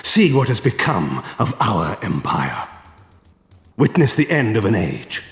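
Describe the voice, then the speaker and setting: In a long, echoing hall, an ancient vampire lord for a video game. He is proud and scornful, gravelly in voice, and weighs each word slowly and grandly. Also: fairly low pitch, sing-song. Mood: cold, grave. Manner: grandiose, deliberate, imperious. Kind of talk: theatrical video game cutscene acting. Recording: echoey hall reverb, faint music.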